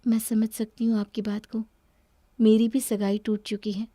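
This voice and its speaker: A woman's voice: fairly high pitch, flat delivery, normal speed, mellow, no room sound, clean studio sound, close to an everyday voice. A woman voicing an understanding young bride-to-be in a cartoon story, polite and reassuring. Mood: calm, reassuring.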